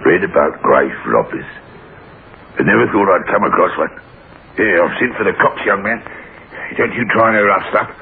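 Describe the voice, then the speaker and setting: A gruff, suspicious cemetery caretaker in an old radio horror play. His low voice creaks as he blusters in rough working-class speech. The recording is thin and narrow, like an old broadcast. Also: moderate pace, pauses often, slight background noise. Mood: stern, threatening. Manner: gruff, blustering, colloquial, ungrammatical. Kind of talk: 1960s radio horror drama acting.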